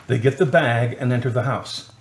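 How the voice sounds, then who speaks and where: A man's voice: medium pitch, moderate pace, rough recording. A man narrating a radio drama from a script in the present tense.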